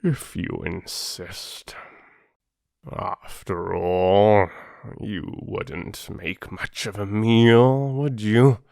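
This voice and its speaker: A man voicing a proud, lordly male lion in a children's storybook, with a slow, haughty drawl. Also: lowish voice, fairly even intonation, creaky, darkish tone, dry recording, clean studio sound, full character voice. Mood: grudging, haughty. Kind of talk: children's audiobook character voice.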